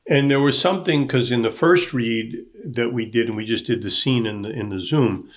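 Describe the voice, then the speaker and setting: A man casually discussing a script in halting phrases, often voicing imagined quotes to make his point. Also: medium pitch.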